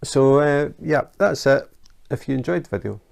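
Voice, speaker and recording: A Scottish man hosting a casual how-to craft vlog, chatty and relaxed, with frequent ums and uhs. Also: medium pitch, normal speed, gravelly. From Scotland. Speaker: YouTube craft channel host. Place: his home in Denmark.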